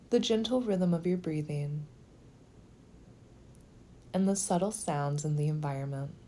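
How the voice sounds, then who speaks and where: A woman leading a guided meditation in a slow, gentle, soothing manner, with phrases sometimes softening toward a whisper. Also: low voice, slight background noise.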